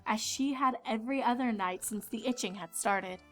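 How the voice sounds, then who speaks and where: A woman's voice: a little high, moderate pace, a bit bright, soft background music. A woman reading scripted narration as a storyteller.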